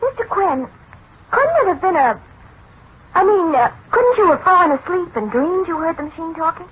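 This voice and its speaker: Principled young newspaperwoman, pleading manner, high and animated, 1940s radio drama. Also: moderate pace. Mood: concerned, gentle. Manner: earnest, pleading. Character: concerned, principled young woman newspaper reporter, kind. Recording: muffled, noisy 1940s radio recording.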